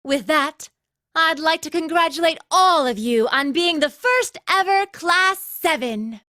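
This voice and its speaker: A woman's voice: very high-pitched, expressive, unhurried, sharp tone, no room sound, clean studio sound, heavily acted. An actress playing a breezy, teasing young female academy instructor in an anime game dub, playful and casual in manner.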